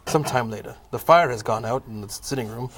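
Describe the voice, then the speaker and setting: A man narrating a scripted comic audio play in a measured, formal storytelling manner. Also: medium pitch, slight background noise.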